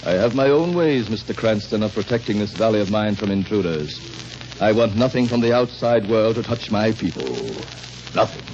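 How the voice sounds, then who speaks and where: Actor playing low-voiced, sinister courtly tyrant. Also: male voice, lightly acted. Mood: imperious, smug. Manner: formal, measured, condescending. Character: sinister, controlling, courtly rich recluse ruling a valley. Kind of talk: 1930s radio drama acting. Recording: noisy, dull 1930s radio recording.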